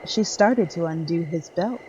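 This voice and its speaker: A woman's voice: fairly low pitch, a little slow, dark tone, lo-fi sound, a little hiss or hum. A woman reading scripted dramatic narration for a horror story.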